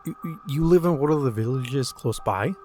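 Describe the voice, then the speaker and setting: A man's voice: medium pitch, expressive, normal speed, darkish tone, faint music behind, natural voice. A man voicing a drunk, guarded village friend with a stammering, loose delivery in a told story. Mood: probing. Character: drunk village friend, wary male companion with rifle.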